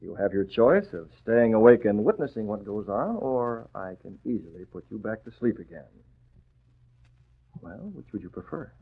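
An actor playing a cool, commanding masked vigilante in a 1940s radio drama, giving clipped, taunting orders. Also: male voice, on the low side, sing-song, normal speed, creaky, breathy edge, full character voice. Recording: muffled tinny vintage radio recording.

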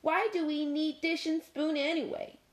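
A woman's voice: sits high, little pitch movement, normal speed, voice pushed up, close to an everyday voice. A woman voicing a grumpy, tired dog in a picture book, mumbling and sarcastic.

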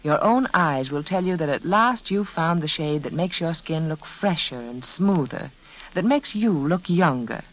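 Expressive 1940s radio beauty spokeswoman. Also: low-pitched, unhurried, rough recording. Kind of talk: 1940s scripted radio advertisement.

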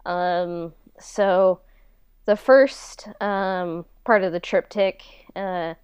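A woman's voice: lowish voice, steady tone, very slow, darkish tone, clear, resonant tone, no room sound. A woman chatting casually as a podcast guest about her own work, candid and self-deprecating, on slightly dull audio. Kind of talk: casual chat.

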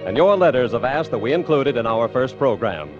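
A man's voice: mid-range voice, big pitch swings, a little fast. A man with an American accent reading scripted announcements in the style of a 1950s radio announcer. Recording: dull lo-fi vintage radio broadcast. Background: orchestral music.

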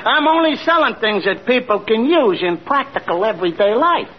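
An actor playing a slick, chummy small-time huckster with glib, wheedling sales patter in an old-time radio comedy. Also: male voice, extremely high pitch, expressive, a little fast. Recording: muffled noisy vintage radio, audience.

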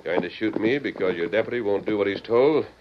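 An actor playing a bold, righteous cowboy hero with firm, measured authority in an old radio western. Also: male voice, fairly low pitch, lightly acted. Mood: commanding. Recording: muffled, noisy vintage radio recording.

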